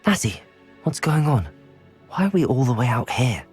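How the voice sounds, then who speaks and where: An actor playing a curious, plucky young British wizard student in a video game, earnest and articulate. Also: male voice, medium pitch, very animated, normal speed, a little mellow, husky. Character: plucky, curious teenage schoolboy wizard hero. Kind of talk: video game character acting. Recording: music behind the voice.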